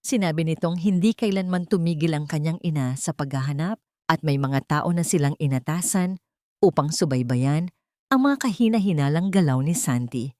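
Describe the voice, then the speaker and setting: A woman's voice: sits low, expressive, normal speed, mellow, dry recording, clean studio sound. A woman reading scripted, dramatic love-story narration for an audio drama.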